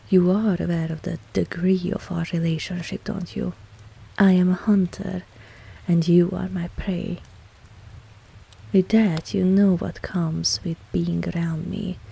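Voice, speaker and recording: Female actor as dominant vampire mistress, very breathy husky voice, lowish pitch, mellow dark tone, whispery, possessive, teasing, intimate audio roleplay. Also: exaggerated voice, low-quality recording. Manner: slow, deliberate, commanding, teasing.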